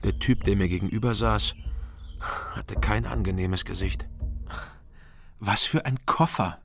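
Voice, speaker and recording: Low-voiced terse crime drama narrator. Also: male voice, unhurried, gravelly, noisy background. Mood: nervous, evasive. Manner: terse, clipped first-person telling in short fragments. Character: wry East German man recounting his own interrogation, anxious when questioned. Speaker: narrator and protagonist.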